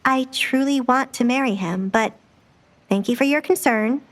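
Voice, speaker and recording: A woman's voice: sits high, normal speed, close to an everyday voice. A woman voicing a shy but determined young bride in an audio drama, courteous and earnest. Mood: resolute.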